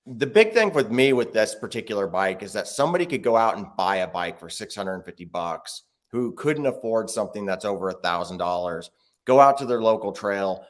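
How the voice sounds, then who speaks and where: A man talking casually as a guest on a video call interview, explaining at length with many restarts. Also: lowish voice. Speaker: guest, bike video creator.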